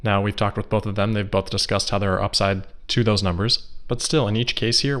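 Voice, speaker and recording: A man hosting a solo investor news podcast, giving scripted commentary in a measured, analytical, explanatory way. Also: low-pitched, fairly bright tone.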